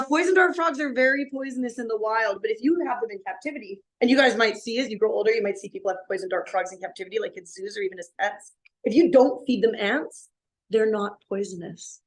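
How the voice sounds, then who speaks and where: A slightly hoarse woman in her thirties, explaining animals to a class of young children. Her delivery is animated and full of questions for the kids. She is heard from a few feet away in a school classroom. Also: on the high side, rough recording. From Canada. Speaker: science educator. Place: elementary school classroom, indoors.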